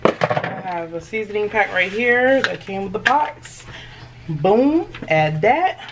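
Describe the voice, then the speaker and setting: A woman's voice: medium pitch, lively intonation, slow pace. A woman in a home kitchen talking viewers through a simple recipe, chatty and reassuring, heard on the camera mic across the room. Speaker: home cooking vlogger. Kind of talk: casual cooking walkthrough. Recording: noisy home kitchen recording. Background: clinking dishes and cutlery.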